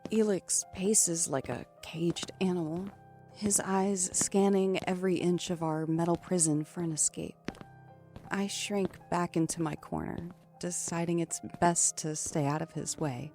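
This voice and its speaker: A woman's voice: lowish voice, steady tone, unhurried, dark tone, faint music behind. A woman playing an anxious woman trapped in an elevator with a stranger, in an audio thriller. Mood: tense, subdued. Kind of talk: audio thriller acting with first-person narration.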